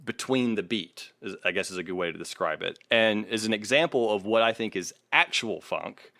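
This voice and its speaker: A man's voice: lowish voice, normal speed, creaky, bright, forceful tone, no echo, studio quality. A man hosting a podcast, talking music casually in an analytical, opinionated way with some swearing. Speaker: podcast host. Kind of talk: casual music critique chat.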